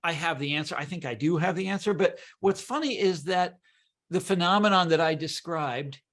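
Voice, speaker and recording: An American man from Kansas talking as an interview guest, animated and emphatic, often repeating his words as he explains. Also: a little high, normal speed. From Kansas, United States. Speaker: guest author and historian. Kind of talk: conversational interview.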